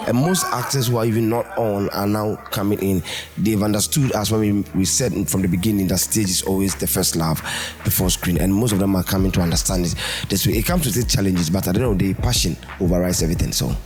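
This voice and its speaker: A man's voice: low voice, quick pace. A man with a Ghanaian accent giving interview answers as a stage director. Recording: faint music behind.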